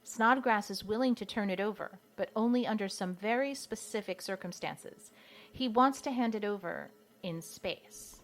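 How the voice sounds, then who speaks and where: A woman's voice: mid-range voice, normal speed, natural voice. A woman playing a matter-of-fact, authoritative intelligence section chief in an audio drama. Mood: businesslike. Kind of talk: comedy audio drama acting.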